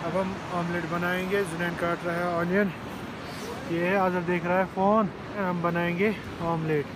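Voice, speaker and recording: High-pitched young man, very noisy recording. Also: level tone, moderate pace. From Kashmir, India. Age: 20s. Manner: narrates what is happening around them. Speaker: vlogger. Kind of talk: casual travel vlog chat. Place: outdoors at riverside campsites, in a car. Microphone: phone at arm's length. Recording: very noisy outdoor phone recording. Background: loud outdoor ambience.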